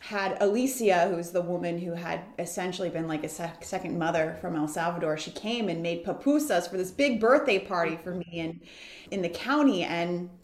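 A woman's voice: fairly low pitch, normal speed. Earnest woman telling her story, roomy sound. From United States. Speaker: interview guest. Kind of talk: reflective interview talk. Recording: roomy echo.

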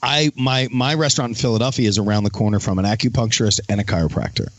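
A man's voice: lowish voice, fast talker, dry recording. A middle-aged man, a chef hosting a casual podcast, rambling with restarts and casual swearing. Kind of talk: casual chat.

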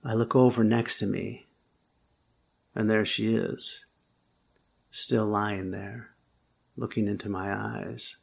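A man with a low, gravelly voice delivers a sermon. His tone is husky and a little rough. He speaks slowly and thoughtfully, rising to firmer emphasis at the key points. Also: flat delivery. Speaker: preacher. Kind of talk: sermon with first-person storytelling.

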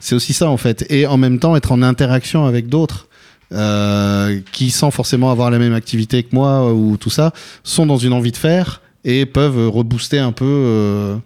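Mellow-voiced man hosting an interview. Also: mid-range voice, normal speed, clean tone. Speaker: interviewer and radio host.

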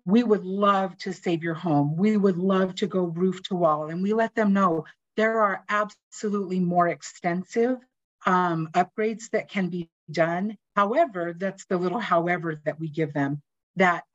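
A woman talks about a home retrofit program in a chatty, informal way, full of fillers. Her voice sits a little low. The audio is dull and lo-fi. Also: measured pace. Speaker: seismic retrofit program staff. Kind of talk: panel discussion.

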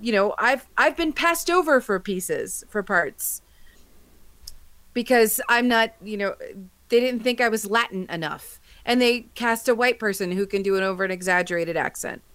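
A woman's voice: medium pitch, expressive, moderate pace, fairly bright tone, no echo. Emphatic woman podcaster, close dry sound. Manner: emphatic, exasperated, blunt. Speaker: podcast panelist. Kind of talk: casual opinionated panel discussion.

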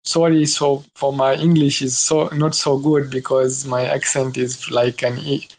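A man's voice: mid-range voice, little pitch movement, a little slow, rough recording. A man from Tanzania with a Tanzanian accent, hesitantly asking a question in a live audio room, searching for words.

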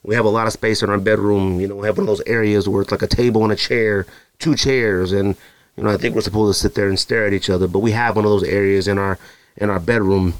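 A man's voice: on the low side, fast talker, clean tone, no room sound, rough recording. A married man hosting a sneaker podcast, talking casually and jokingly straight to his listeners. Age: adult, married. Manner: animated, joking, direct address to listeners. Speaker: podcast host. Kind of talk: casual solo podcast chat with ad read.

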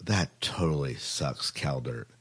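A man's voice: extremely low pitch, slow pace, fairly bright tone, breathy edge. A man hosting a casual podcast chat about television, informal and enthusiastic in the way he talks. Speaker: podcast host.

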